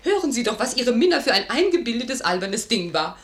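A woman's voice: on the high side, big pitch swings, a little fast. An actress playing a young noble lady in a 1950s radio play, joyful, playful and stubborn in love, speaking with theatrical feeling. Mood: teasing, tender. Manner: emotional, theatrical, teasing.

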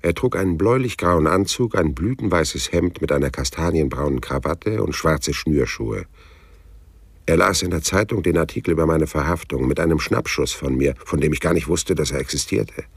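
A hard-boiled private eye in a crime radio play. His voice is extremely low and husky, with a lively, swinging pitch. He is cynical, stubborn and world-weary, speaking in dry, sardonic lines. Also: moderate pace, exaggerated voice. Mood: brooding narration. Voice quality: husky, rough. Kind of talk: radio crime drama with first-person narration.

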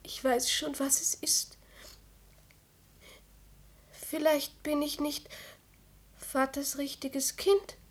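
High childlike girl's voice, slow, earnest, anxious, devoted, radio drama. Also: level tone, in a whisper. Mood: sad, earnest. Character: tender, anxious teenage daughter, innocent and devoted. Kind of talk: radio play acting.

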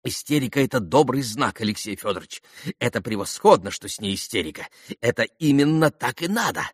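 A man voicing a gushing, excitable society lady, a fussing mother who talks in effusive, melodramatic repetitions, in an audiobook novel. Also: mid-range voice, fairly quick, husky, dry recording, clean studio sound. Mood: brisk, confiding. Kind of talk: audiobook classic novel dialogue.

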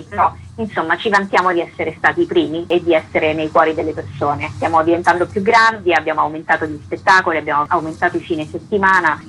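A woman's voice: on the low side, a bit bright, a little hiss or hum, low-quality recording. A woman answering conversationally as an artistic director being interviewed on the radio. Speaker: theatre festival artistic director, interview guest.

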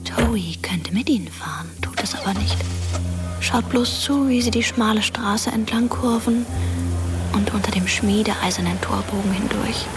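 An actress playing a dead teenage girl who narrates a German radio drama as a quiet, detached ghost, half whispering and measured. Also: mid-range voice, lightly acted. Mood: quiet, watchful. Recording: music under the voice.

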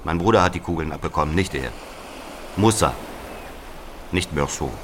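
An actor playing an embittered older Algerian man recounting his murdered brother in a deliberate radio drama monologue. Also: rumbling low, background noise. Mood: bitter.

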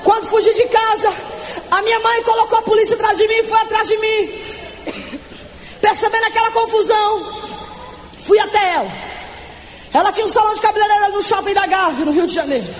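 A woman in her twenties preaching her testimony in a fervent, shouting evangelical sermon, stretching words for emphasis. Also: very high-pitched, fairly quick, long pauses, a little hiss or hum. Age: 20s.

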